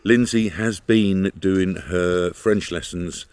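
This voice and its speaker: A man's voice: low-pitched, slow, darkish tone. A middle-aged British man chatting casually to camera as a travel vlogger. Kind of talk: casual vlog chat to camera. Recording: noisy vehicle and outdoor ambience.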